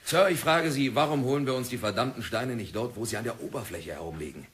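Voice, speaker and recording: An actor playing a stubborn, zealous young geologist in a German radio play, speaking earnestly and insistently, with deference to a superior. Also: male voice, medium pitch, animated, quick pace, lightly acted. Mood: insistent, fervent. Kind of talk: German radio drama acting.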